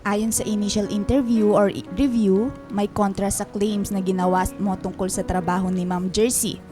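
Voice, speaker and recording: A woman's voice: mid-range voice, fairly even intonation, normal speed, natural voice. A woman playing a formal, businesslike human resources officer in a radio drama. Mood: formal, serious. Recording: music behind the voice.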